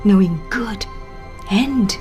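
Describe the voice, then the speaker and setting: A woman voicing a cunning, persuasive serpent tempter in a dramatized religious audiobook, with an insinuating, drawn-out delivery. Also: medium pitch, lively intonation, over music. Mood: sly tempting.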